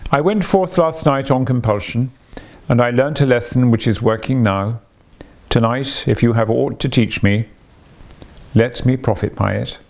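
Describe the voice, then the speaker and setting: Storybook old miser, voiced by a male reader: humbled, submissive, muttering, with a creaky voice. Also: mid-range voice, unhurried, a little mellow. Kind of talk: storybook character reading.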